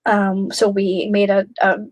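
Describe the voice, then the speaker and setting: A young woman hosting a live question-and-answer chat, talking candidly with many pauses and fillers, a little snuffly from a flu. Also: medium pitch, monotone, darkish tone, no room sound. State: snuffly, getting over a flu. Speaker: host of a live question stream.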